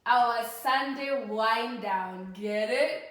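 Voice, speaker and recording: A woman's voice: fairly high pitch, drawn-out words, a loud crowd. A woman from Kenya with a Kenyan accent, co-hosting a casual podcast chat in a playful, teasing way. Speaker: podcast co-host. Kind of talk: casual chat mixing in Swahili. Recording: very echoey room.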